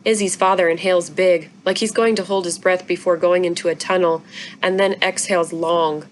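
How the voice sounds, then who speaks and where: A woman reading a children's book aloud in an expressive story-time style. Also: fairly low pitch, moderate pace. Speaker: library story-time reader. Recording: noisy room recording.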